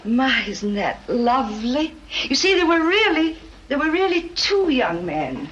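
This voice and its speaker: A small-town American housewife from a 1940s film. She is eager and gushing, with a fairly high voice that swings widely in pitch. She chatters on in a fussy, old-fashioned screen manner. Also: big pitch swings, a little slow, a bit bright, lo-fi sound, full character voice.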